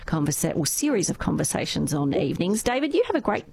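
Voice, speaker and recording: A woman hosting casual talkback radio, chatty and quick to laugh. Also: fairly low pitch, very animated, gravelly, darkish tone, slightly rough. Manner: chatty, laughs easily, uses fillers. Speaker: radio host.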